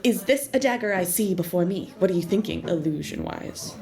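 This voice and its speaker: Woman as an informal, lowish-voiced young circus trainee. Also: expressive, brisk, gravelly, a little mellow. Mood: curious, quibbling. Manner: informal, hesitant. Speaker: voice actor. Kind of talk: conversational audio drama acting.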